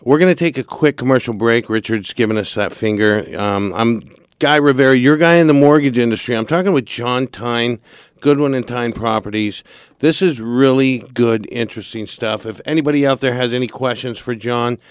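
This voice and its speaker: A man from California hosting a radio talk show, chatting casually and pausing often with fillers and restarts. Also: medium pitch, clear, resonant tone, dry recording, clean studio sound. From Santa Barbara, California. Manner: halting, with many fillers and restarts.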